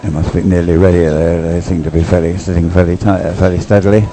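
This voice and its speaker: A man's voice: sits low, level tone, fast, mellow, soft background music. An older man giving conversational live race commentary, drifting into rambling explanatory asides. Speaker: sports commentator and race umpire.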